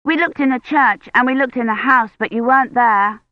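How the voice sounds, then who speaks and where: A woman acts out a small storybook girl with a high, eager voice. She is trusting and insistent, speaking artlessly in old-fashioned phrases. The recording is dry and a little dull. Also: a little fast, dry recording, natural voice. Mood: excited, eager. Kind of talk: audiobook character acting.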